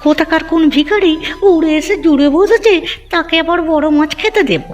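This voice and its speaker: A woman's voice: extremely high pitch, fairly quick, lo-fi sound. A woman voicing a nagging, scornful aunt. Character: sharp-tongued stingy middle-aged aunt. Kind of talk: Bengali cartoon dubbing.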